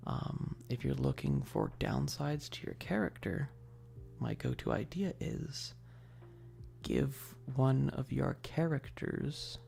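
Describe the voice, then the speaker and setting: A man streaming casual ASMR chat in a rambling, hushed way, often dropping toward a whisper, with a gravelly voice. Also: medium pitch, fairly even intonation, slow, a little hiss or hum.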